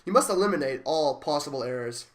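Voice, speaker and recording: A man's voice: fairly high pitch, animated, fast, a bit bright. A man acting as a stern, demanding research director near seventy in a radio play, with a commanding, clipped manner. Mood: brisk, commanding.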